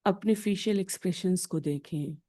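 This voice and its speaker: A woman with an Indian accent leading a guided meditation in a deliberate, instructional way, pausing between short phrases. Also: on the low side, dark tone.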